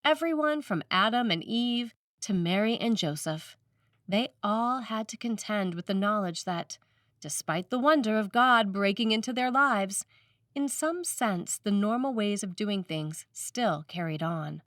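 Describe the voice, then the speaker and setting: A woman's voice: mid-range voice, lively intonation, a little slow, a bit bright, no room sound, studio quality, distant mic. A woman priest reading a scripted sermon aloud.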